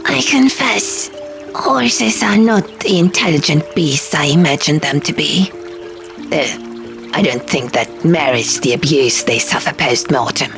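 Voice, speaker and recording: A woman's voice: low-pitched, expressive, unhurried, creaky, music in the background, heavily acted. A woman voicing a fussy, pompous stone gargoyle in an audiobook, with formal, prim, wordy phrasing. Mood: prim, musing. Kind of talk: audiobook character voice.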